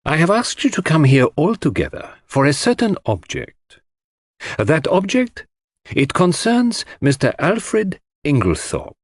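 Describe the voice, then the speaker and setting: A man voicing a shrewd, courteous, theatrical detective in an audiobook, speaking formally and deliberately. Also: fairly high pitch, huge pitch swings, dry recording, studio quality, voice pushed up, heavily acted. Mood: ceremonious. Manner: formal, grave, deliberate, questioning. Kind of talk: audiobook character dialogue.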